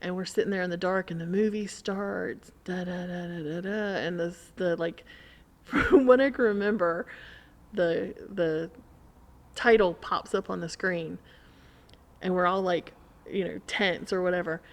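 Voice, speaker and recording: A middle-aged woman telling stories in casual conversation, in an animated, rambling way. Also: lowish voice, measured pace. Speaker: podcast guest or co-host. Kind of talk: casual chat, storytelling.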